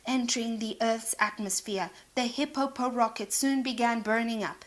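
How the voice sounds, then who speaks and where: High-voiced woman reading a children's story. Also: normal speed. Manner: dramatic, expressive. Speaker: storybook reader. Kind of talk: animated children's story narration.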